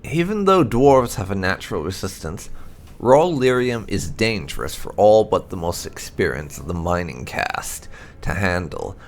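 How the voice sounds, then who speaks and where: A man reading game lore aloud in a measured, formal narration style. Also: deep voice, sing-song. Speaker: gaming channel host reading lore entries. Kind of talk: read-aloud narration of fantasy game codex.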